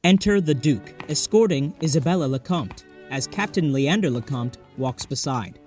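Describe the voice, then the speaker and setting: A man's voice: on the high side, animated, normal speed, darkish tone, faint music behind. A man narrating scripted stage directions for an audio drama.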